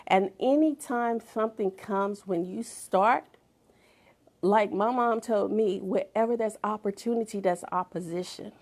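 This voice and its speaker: Gravelly-voiced woman preaching purpose. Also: mid-range voice, measured pace, darkish tone. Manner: emphatic, repeats phrases for effect, drops to whispers. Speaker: motivational speaker and author. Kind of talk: inspirational talk with preaching.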